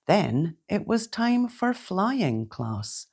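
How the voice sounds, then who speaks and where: A woman reading a children's bedtime story aloud in a gentle, theatrical, deliberate way. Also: medium pitch, big pitch swings, breathy edge. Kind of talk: expressive read-aloud bedtime storytelling in rhyme.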